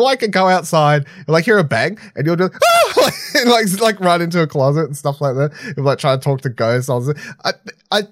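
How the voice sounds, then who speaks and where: A man's voice: fairly high pitch, no room sound, clean studio sound. A man co-hosting a podcast, giving a casual, rambling review full of filler phrases like "sort of". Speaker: podcast co-host reviewing films.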